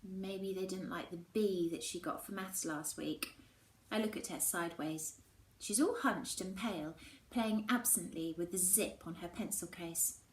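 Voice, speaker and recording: A woman speaking as a primary teacher reading aloud to children, with expressive storybook delivery. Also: fairly low pitch, unhurried. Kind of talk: expressive children's storybook narration with casual greetings. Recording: echoey room, faint background noise.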